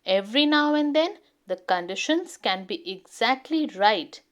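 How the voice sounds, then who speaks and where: A woman's voice: on the high side, animated, measured pace, fairly bright tone. A woman voicing a knowledgeable, encouraging father in a children's storybook, explaining with emphasis. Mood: reassuring. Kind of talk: children's storybook character reading.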